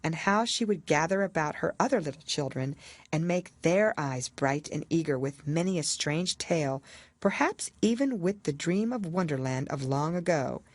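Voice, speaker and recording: A woman narrating a children's classic as an audiobook, in a measured, expressive storytelling manner. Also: deep voice, no room sound. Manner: expressive, measured literary reading.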